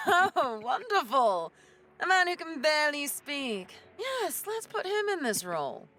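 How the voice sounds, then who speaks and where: A woman's voice: high-pitched, sing-song, unhurried, sharp tone, soft background music, exaggerated voice. A woman playing a stern, sardonic female pirate captain in an audio drama, commanding and dryly sarcastic. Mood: amused, sarcastic.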